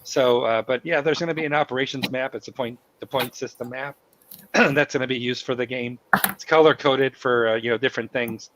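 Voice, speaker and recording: A man with a cold hosting a live company stream, talking in halting bursts full of fillers and restarts. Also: mid-range voice, steady tone, fairly bright tone, a little hiss or hum, rough recording. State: has a cold, losing his voice. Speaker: host of company town hall stream.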